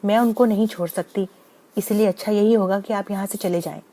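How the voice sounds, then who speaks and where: A woman's voice: mid-range voice, steady tone, fairly quick, dark tone, close to an everyday voice, poor audio. A woman voicing a devoted young village daughter in a moral-story cartoon, earnest and emphatic. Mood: firm, pleading.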